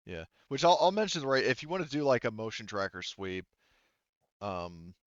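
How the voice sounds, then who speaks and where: A man narrating a tabletop roleplaying game as game master, explaining things in a halting, wordy way. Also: mid-range voice, animated, no room sound, lo-fi sound.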